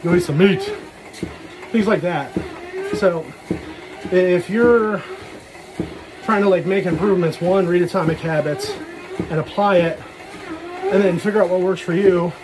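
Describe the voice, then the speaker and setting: A man's voice: high-pitched, unhurried, pauses often, background noise. A man casually rambling through a personal vlog, dropping self-deprecating, jokey asides. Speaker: vlogger. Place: talking while out walking.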